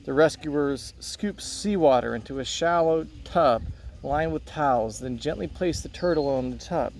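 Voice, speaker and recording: A man's voice: on the high side, a little slow, darkish tone. A man reading a play script aloud as narrator, including stage directions, in the manner of a teacher. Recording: clear background noise.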